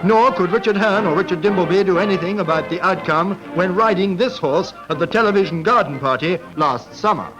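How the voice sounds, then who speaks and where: A man's voice: high voice, a little fast, few pauses, rough recording. A man with a Received Pronunciation British accent delivers formal, clipped, scripted sports commentary in 1940s newsreel style. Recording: vintage 1940s film soundtrack, loud music. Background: loud background music.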